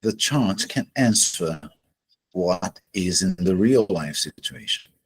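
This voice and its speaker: Man, husky low voice, very slow deliberate lecture, low-quality home recording. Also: lively intonation. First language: Portuguese. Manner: measured, deliberate, pauses between phrases. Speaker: astrology teacher. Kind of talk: solo explanatory lecture.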